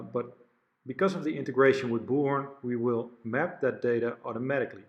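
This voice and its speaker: A man talking through a software product demo one step at a time. His voice sits at a middle pitch and moves along in a plain, explanatory way. Now and then he stumbles and restarts a word. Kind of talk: software walkthrough narration.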